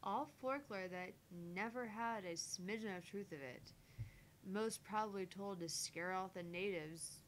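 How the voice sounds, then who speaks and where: A woman reads a visual novel aloud on a game stream, her voice a little on the low side. She moves through the narration informally, now and then tripping on a phrase and repeating it. A faint rumble of noise sits under the recording. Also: poor audio. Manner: stumbles over lines and rereads. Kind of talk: reading story aloud with casual asides.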